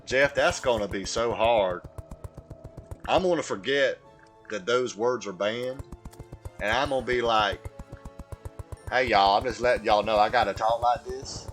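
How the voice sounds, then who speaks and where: A man voicing a slow, simple, earnest Southern American man with a folksy drawl while chatting on a gaming livestream. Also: medium pitch, a bit bright, close to an everyday voice. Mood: folksy, chatty. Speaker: video game streamer. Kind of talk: livestream gaming chat held in a put-on voice. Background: music.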